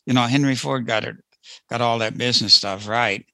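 A man's voice: mid-range voice, steady tone, fairly quick, creaky, bright, forceful tone, dry recording, poor audio. A man talking casually in a group discussion, hesitant and repeating his phrases. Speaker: book club participant.

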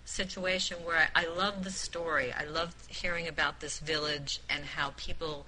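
A woman giving an informal spoken book review in a group discussion, halting and repeating words as she makes emphatic points. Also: low voice, steady tone, sharp tone, a little hiss or hum, low-quality recording. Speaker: book club member who picked the book.

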